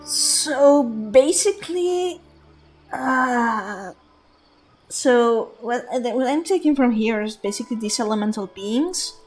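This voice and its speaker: A woman's voice: fairly high pitch, expressive, slow pace, soft background music, rough recording. A woman streaming a game, musing aloud in a rambling, thoughtful way with many fillers and restarts. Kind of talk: casual livestream commentary.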